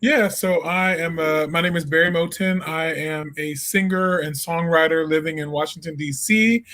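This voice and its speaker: Easygoing young man with a higher voice. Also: steady tone, normal speed, bright, forceful tone. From Peoria, Illinois. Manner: easygoing, laughs often, many fillers and restarts. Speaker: jazz singer and songwriter, guest. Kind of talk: casual interview answers.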